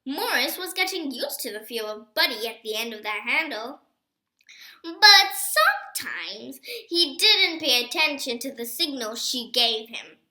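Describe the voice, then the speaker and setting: Female storybook reader: bright, unhurried, expressive and emphatic phrasing, echoey home recording. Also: high-pitched. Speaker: reader of a children's book. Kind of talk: reading a storybook aloud.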